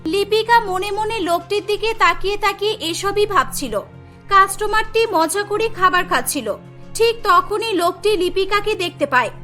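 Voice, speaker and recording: A woman narrating a cartoon story in a clear storytelling manner. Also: very high voice, moderate pace, pushed and bright, soft background music.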